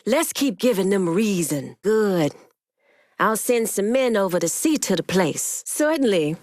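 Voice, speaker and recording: Cheffe de gang autoritaire et fière. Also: female voice, medium pitch, sing-song, darkish tone, no echo, studio quality. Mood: composed, assured. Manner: firm, deliberate, authoritative. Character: commanding, proud woman crime boss, shrewd and quick to anger. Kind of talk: video game voice acting.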